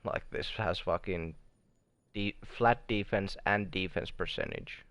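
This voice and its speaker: A man's voice: sits low, measured pace, fairly bright tone, clean tone, dry recording. A man chatting casually as he streams a game, muttering in a laid-back way and swearing often. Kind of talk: casual gameplay stream chat.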